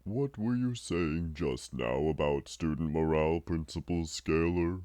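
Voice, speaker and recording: A man voicing a stern, formal whale school inspector in a visual novel, questioning in measured phrases. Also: extremely low pitch, dark tone, dry recording, clean studio sound.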